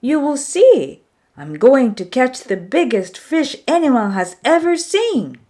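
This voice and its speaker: A woman's voice: high voice, big pitch swings, slow, warm tone, pitched above the performer's own voice, exaggerated voice. A woman voicing an eager, boastful young boy trickster in a storybook tale, pleading and insistent.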